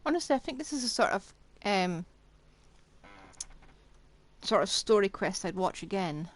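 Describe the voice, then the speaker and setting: A woman's voice: mid-range voice, animated, measured pace. Woman streamer casually commenting on a game. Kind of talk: casual live commentary over gameplay.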